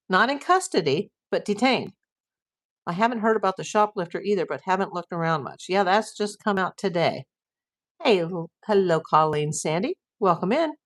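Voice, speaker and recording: Woman streamer, tired strained voice, chatty, mid-pitched. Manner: chatty, reads viewer comments aloud. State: losing her voice. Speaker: livestream host. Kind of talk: casual livestream chat reading comments.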